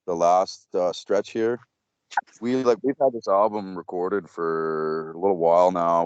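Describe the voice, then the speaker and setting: A man's voice: a little mellow, smooth, no room sound, highs cut off. A young man, a metal band vocalist, giving casual interview answers with lots of fillers and restarts. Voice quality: low voice. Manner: rambling, many fillers and restarts.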